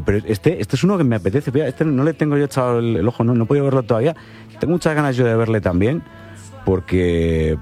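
Low-pitched man from Galicia, chatty radio host, faint music underneath. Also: a little mellow. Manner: chatty, laughs along. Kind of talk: casual radio interview.

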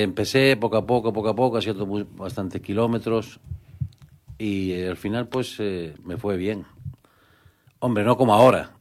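A middle-aged man with a fairly low voice answers interview questions in an earnest, halting way. He often restarts his sentences and stretches out fillers as he thinks. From Canary Islands. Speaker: guest, rural real estate agent. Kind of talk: conversational interview.

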